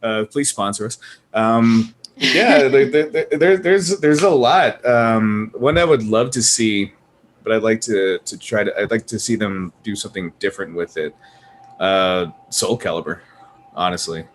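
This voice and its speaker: A man's voice: on the low side, unhurried. Joking man with faint room hum. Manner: joking, trails off and restarts. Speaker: podcast co-host. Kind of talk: casual chat.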